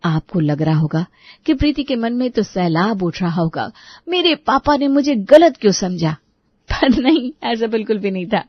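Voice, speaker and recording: A woman's voice: medium pitch, no echo, dull audio. A woman storyteller narrating a scripted family tale.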